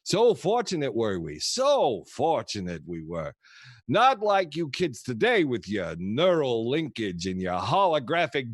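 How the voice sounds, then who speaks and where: An actor playing a kooky old grandfather who tells rambling, theatrical, halting stories to his grandchildren in a comedic audio play. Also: a little high, no room sound, clean studio sound, exaggerated voice. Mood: nostalgic. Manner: theatrical, wistful, halting storyteller.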